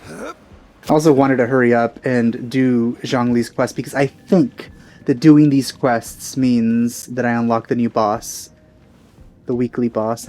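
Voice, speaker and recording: A man's voice: mid-range voice, darkish tone. A man giving rambling, casual commentary as he hosts a let's play.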